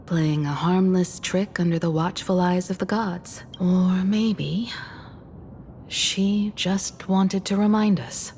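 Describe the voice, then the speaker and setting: A woman voicing a composed, pensive adult character in a fantasy game, speaking in a measured, solemn, reflective way. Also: fairly low pitch, mellow, slight background noise. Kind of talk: video game dramatic dialogue.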